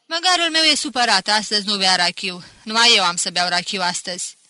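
Woman doing a loud, bright, hearty shepherd man. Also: medium pitch, no echo. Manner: loud, exclamatory, folksy. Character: hearty, boisterous male mountain shepherd, robbed but unbowed. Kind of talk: audiobook character acting.

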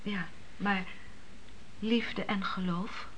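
Loyal young wife, hesitant, frank, medium pitch, radio drama, faint ambience. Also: female voice, fairly even intonation, extremely slow, a little hiss or hum. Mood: hesitant, sincere.

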